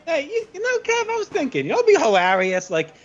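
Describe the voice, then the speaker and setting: A man playing an excitable, slangy wrestling promoter in a comedic audio play, speaking in emphatic, halting bursts over slightly dull audio. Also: very high voice, a faint crowd, full character voice. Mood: musing, eager.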